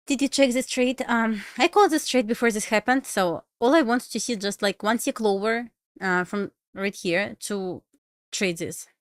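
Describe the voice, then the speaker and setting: Woman, Russian accent, native Russian speaker, casual livestream chat, halting English, mid-pitched voice, dry close mic. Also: clear, resonant tone, no room sound. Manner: chatty, halting non-native English with restarts. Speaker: livestream trader.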